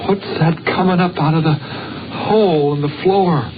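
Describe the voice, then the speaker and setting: An actor playing a stunned, frightened medic in a vintage horror radio drama, speaking in halting, bewildered fragments on an old broadcast recording. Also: male voice, fairly high pitch, muffled recording, background noise, natural voice. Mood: uneasy wonder. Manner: halting, bewildered, broken short phrases.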